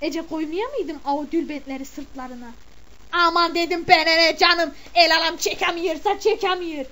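Woman doing a middle-aged village mother, very high voice, chatty, exclamatory, scolding. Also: steady tone, moderate pace, sharp tone. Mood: gossipy. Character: gossiping village mother of the bride, indignant. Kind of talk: comic character monologue.

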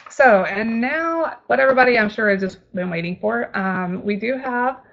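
A woman's voice: fairly high pitch, moderate pace, gravelly, dull audio. A woman with a Texas accent, a travel agent giving a friendly, chatty webinar presentation with frequent ums and restarts.

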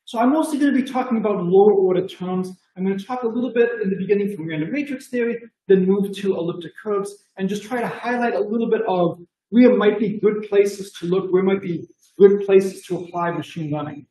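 High-voiced Boston man lecturing. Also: brisk, warm tone, roomy sound, poor audio. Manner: enthusiastic, conversational, many "you know" fillers. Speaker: mathematics lecturer. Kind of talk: conference talk with slides.

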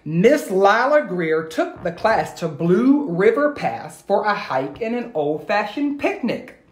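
A man's voice: high voice, very animated, slow pace. A middle-aged man reading aloud expressively, as an educator addressing young listeners directly and encouragingly. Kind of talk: expressive children's read-aloud. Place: indoor room at home. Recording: echoey room, phone video.

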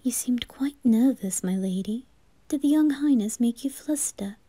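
A woman playing a calm, polite young maid in an ASMR roleplay, speaking in a hushed, soothing, deferential way. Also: a little high, moderate pace, slight background noise, natural voice. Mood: gentle, reassuring.

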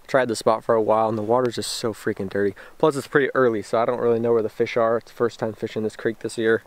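A man's voice: medium pitch, level tone, fast talker. Young man vlogging outdoors, slangy and laid-back. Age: 20s. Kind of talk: casual outdoor vlog chat. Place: outdoors on a riverbank by a boat. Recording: clear outdoor background noise, boat and water.

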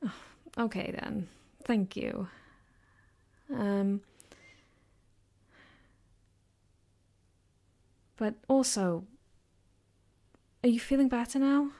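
Soft, slow-talking caring girlfriend, acted by a woman. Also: fairly high pitch. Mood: affectionate. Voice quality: soft, gentle, sometimes dropping to a whisper. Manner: hesitant, affectionate, reassuring. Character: caring, doting young girlfriend, affectionate and reassuring. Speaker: ASMR roleplay performer. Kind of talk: ASMR girlfriend comfort roleplay.

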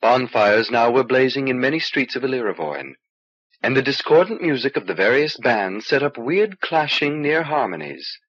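A man with a husky voice narrating a fantasy novel in an expressive, measured storytelling delivery, on an old cassette transfer. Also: medium pitch, highs cut off. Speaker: audiobook reader.

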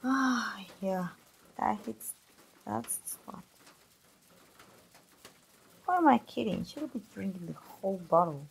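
A woman's voice: mid-range voice, lively intonation, takes it slowly, gravelly, long pauses, slight background noise. A woman, voicing a chatty, teasing young best friend in an ASMR roleplay, playful and familiar, as if speaking straight to the listener. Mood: teasing, friendly. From Mexico. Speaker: voice actor.

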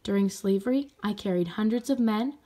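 A woman voicing a gentle, solemn aunt who guides a child in a storybook, soft and measured. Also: medium pitch, natural voice.

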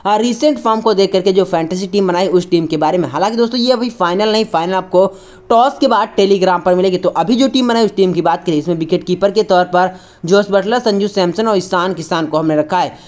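Casting: female sports preview presenter, very quick delivery, slightly low pitch, scripted and formulaic. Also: darkish tone. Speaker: cricket match preview presenter. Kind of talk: scripted sports preview narration for online video.

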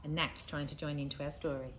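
A middle-aged woman reading a children's storybook aloud expressively, with deliberate, dramatic pauses. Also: low-pitched, flat delivery. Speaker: primary school staff member reading aloud. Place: outdoors in a leafy school playground. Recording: faint outdoor ambient noise.

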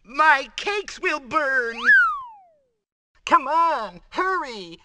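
A woman's voice: high-pitched, very animated, very slow, heavy background noise, exaggerated voice. A woman voicing a flustered baker in an old cartoon game. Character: flustered baker woman worried about cakes.

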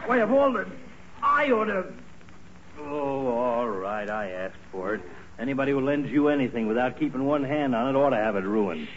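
A man's voice: mid-range voice, animated, normal speed, close to an everyday voice. A man playing a sardonic, blustery, pompous family doctor in a 1940s radio comedy, wordy and dry. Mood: blustering outrage. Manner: dry, wordy, mock-courteous. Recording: noisy, muffled 1940s radio recording.

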